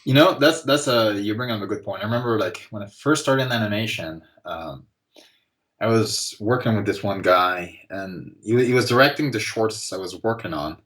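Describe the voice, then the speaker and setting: A man in his forties, a guest artist chatting casually on a podcast, relaxed and rambling with lots of fillers. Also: lowish voice, moderate pace. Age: 40s.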